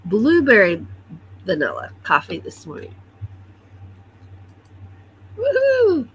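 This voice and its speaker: A woman's voice: a little high, huge pitch swings, takes it slowly, a little hiss or hum. A woman hosting a casual morning livestream from her computer at home, greeting viewers one by one and sounding a little stuffy. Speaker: livestream host. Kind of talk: casual morning chat reading viewer comments.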